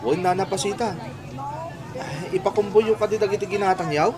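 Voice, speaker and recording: A man's voice: high voice, normal speed, darkish tone, some chatter behind, close to an everyday voice. Man acting a humble Ilocano laborer, lightish voice. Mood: respectful, eager. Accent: Filipino, Ilocano. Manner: deferential, apologetic. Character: poor, polite, humble married male laborer. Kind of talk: Ilocano radio drama acting.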